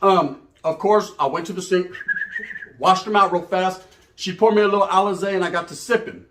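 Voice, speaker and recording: A man's voice: high voice, fairly quick, a little hiss or hum. An American man casually telling a bawdy comedic story in slangy language, acting out the dialogue and often checking in with the listener. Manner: slangy, animated, acts out dialogue, checks in with "right?". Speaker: storyteller, channel host.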